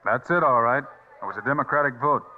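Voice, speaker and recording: An actor playing a calm, terse, steadfast American marshal of the Old West in a vintage radio play. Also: male voice, mid-range voice, fast talker, natural voice. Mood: resigned calm. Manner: terse, unruffled. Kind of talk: 1950s Western radio acting. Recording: dull old radio recording.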